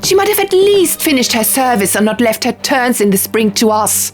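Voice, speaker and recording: A woman's voice: a little high, sing-song, normal speed, faint music behind, voice pushed up. A woman voicing quarrelling young female diviners in an audiobook, indignant and urgent, arguing heatedly. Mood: indignant, shouting. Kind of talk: audiobook character dialogue.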